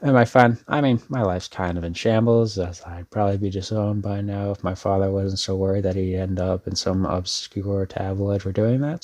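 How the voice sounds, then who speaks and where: Casting: male actor for a deep-voiced, laid-back young male rebel, self-deprecating and wry, rambling delivery, roleplay audio drama. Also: darkish tone. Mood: wry, bitter. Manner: rambling, wry, sardonic, casual.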